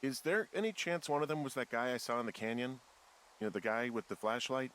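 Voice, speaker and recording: A man's voice: mid-range voice, brisk, a bit bright, slight background noise, lightly acted, low-quality recording. A man playing a laid-back, middle-aged American fire lookout in a naturalistic video game, dry, understated and a little hesitant. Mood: curious, dry.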